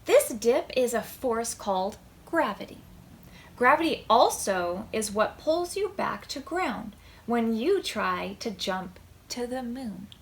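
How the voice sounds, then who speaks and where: Lady doing preschool storytime, kinda high voice, really slow expressive read-aloud, bit of noise in the background. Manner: deliberate, expressive phrasing with emphasis for small children. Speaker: children's librarian hosting preschool storytime. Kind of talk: read-aloud of a picture book for young children.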